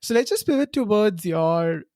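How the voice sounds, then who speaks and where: A woman's voice: mid-range voice, expressive, dark tone, no echo, clean studio sound. A woman from Punjab, hosting a casual interview and keeping it going with short encouraging replies and laughs. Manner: encouraging, quick to laugh and give short backchannels. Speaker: podcast host.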